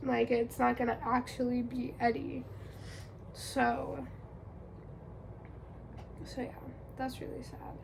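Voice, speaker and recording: Congested young woman vlogger, high, unhurried, casual rambling chat. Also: little pitch movement, vocal fry, darkish tone, slight background noise. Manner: chatty, rambling with fillers. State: congested from a cold.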